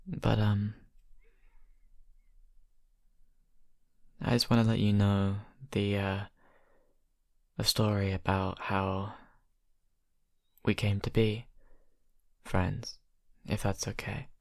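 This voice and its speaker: A young man acting a shy college vampire who confides haltingly and softly to his best friend in an ASMR roleplay. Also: lowish voice, flat delivery, creaky, no echo, clean studio sound. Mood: nervous, grateful. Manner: hesitant, halting, soft-spoken confiding. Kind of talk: ASMR roleplay, intimate improvised monologue.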